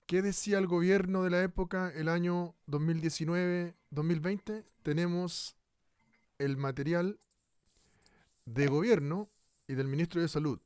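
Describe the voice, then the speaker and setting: A man narrating a radio documentary in a measured, questioning way. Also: high voice, fairly even intonation, darkish tone, clean tone, no room sound, clean studio sound.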